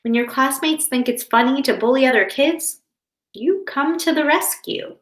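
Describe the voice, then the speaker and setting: A woman reading the part of a kind, reassuring storybook mother who speaks tenderly and deliberately to her child. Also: high voice, pitched above the performer's own voice, close to an everyday voice. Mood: reassuring, loving. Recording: roomy, echoing indoor sound.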